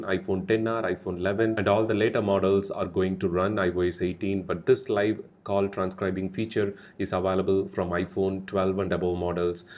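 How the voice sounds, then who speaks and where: A man hosting a tech explainer, talking to viewers in a measured, explanatory way and stressing his key points. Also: sits low, darkish tone. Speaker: tech video host.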